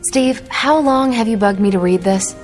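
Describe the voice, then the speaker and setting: A woman's voice: on the high side, normal speed, natural voice. A woman playing an easygoing, observant superhero in a video game cutscene, with music behind her voice. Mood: light, teasing. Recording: background music under the voice.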